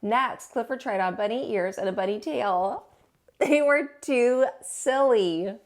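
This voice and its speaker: A woman hosting storytime, reading aloud to young children with an expressive, teacherly delivery. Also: a little high, a little slow.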